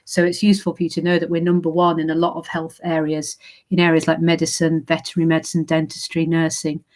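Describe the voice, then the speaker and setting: Woman, English accent, slightly low voice, friendly explanatory presentation, filler-heavy, video call. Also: monotone, fast, a little mellow. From England. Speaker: university recruitment manager. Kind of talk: informal presentation to prospective students.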